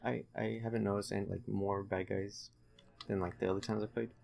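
Low, creaky-voiced man gaming. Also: little pitch movement, fairly quick, a little mellow. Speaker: let's play host, playing the game. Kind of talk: casual gameplay commentary with a friend.

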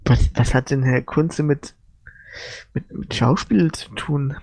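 A man's voice: medium pitch, slow pace, creaky, darkish tone. A man co-hosting a casual interview, chatting and asking questions. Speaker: podcast co-host.

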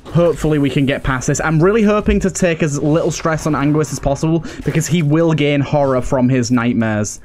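Male gaming host, voice a little on the high side, casual running commentary. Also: brisk, a little mellow, slight background noise. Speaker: host of a gaming let's play series.

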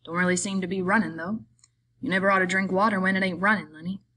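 A woman playing a small, irritable, weary male migrant worker in an audiobook, scolding in rough, rustic speech. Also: on the low side, normal speed, sharp tone, slight background noise. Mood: grudging.

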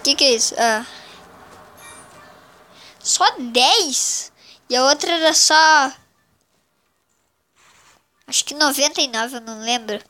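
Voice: high voice, slow, long pauses, bright, forceful tone, faint music behind. A child hosting a casual mobile game commentary, sounding congested with a cold. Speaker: gaming channel host.

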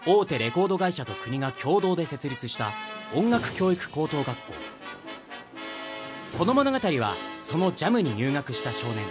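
A man's voice: a little high, big pitch swings, normal speed. A man playing an earnest, exasperated teenage music student in a radio comedy drama, narrating and making emphatic retorts.

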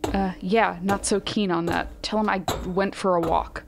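A woman playing a shaken, weary, foul-mouthed character who pleads haltingly in a horror audio drama. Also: mid-range voice, fairly even intonation, gravelly, over music. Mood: defeated, bitter. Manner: halting, stammering, exasperated.

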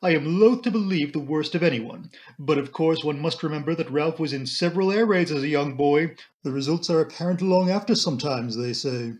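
A man's voice: a little high, brisk, darkish tone, close to an everyday voice. A man voicing a fretful, self-pitying middle-aged society mother in an audiobook, genteel, plaintive and rambling.

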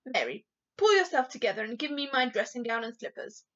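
A woman voicing a composed, commanding older queen with crisp formal speech, on a dull recording. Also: on the high side, big pitch swings, a little fast.

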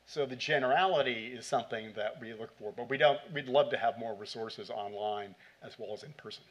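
A man's voice: mid-range voice, brisk. A middle-aged man answering questions after a conference talk in a hall, speaking in short bursts with many fillers. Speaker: conference presenter. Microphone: podium mic.

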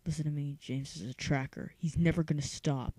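A woman's voice: deep voice, fairly even intonation, a little fast, mellow, breathy edge, no room sound. A woman voicing a brooding, serious young male vampire in a grave, insistent manner for an audiobook audition. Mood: grave warning.

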